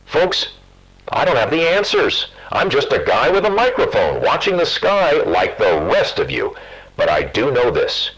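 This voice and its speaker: A man's voice: on the high side, wildly swooping pitch, measured pace, a bit bright, slight background noise, exaggerated voice, poor audio. A man playing a brooding, consoling late-night radio host, speaking with intimate, dramatic phrasing. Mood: somber, reassuring.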